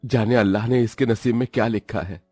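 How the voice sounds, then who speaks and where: An actor playing an earnest, kind poor husband in a cartoon story. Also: male voice, fairly low pitch, fairly even intonation, a little fast, darkish tone, no room sound, clean studio sound, faint music behind, natural voice.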